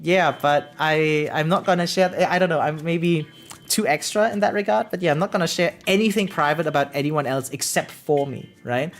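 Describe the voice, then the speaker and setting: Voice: mid-range voice, normal speed. A young adult streamer chatting casually with viewers, rambling and repeating words, informal and sweary, often self-deprecating. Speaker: live streamer. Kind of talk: casual chat with viewers, reading out and answering comments. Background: background music.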